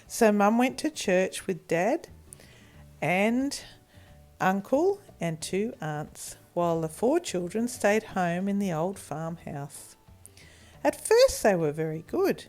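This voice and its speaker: A woman's voice: medium pitch, very animated, takes it slowly, a little mellow. A woman tells a story for children with a lot of melodic movement in her voice. She takes her time, reading expressively over a music bed.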